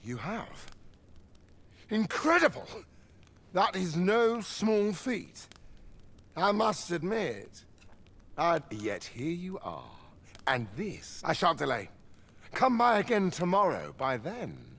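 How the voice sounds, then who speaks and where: An excitable, wildly expressive fantasy craftsman. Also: sits high, takes it slowly, pauses often, full character voice. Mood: impressed. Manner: archaic formal diction, excitable, muttering asides. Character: excitable obsessive male scholar-craftsman in a fantasy realm. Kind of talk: theatrical fantasy video game acting.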